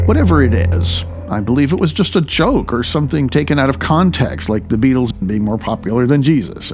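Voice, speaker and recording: A man from the United States reading his own book as an author, in a halting, opinionated way with loose spoken asides. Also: medium pitch, darkish tone. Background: faint music.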